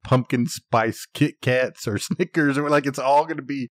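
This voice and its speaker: A man's voice: medium pitch, normal speed, no echo, studio quality. A man co-hosting a podcast in casual banter, given to exasperated, exaggerated ranting. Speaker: podcast co-host.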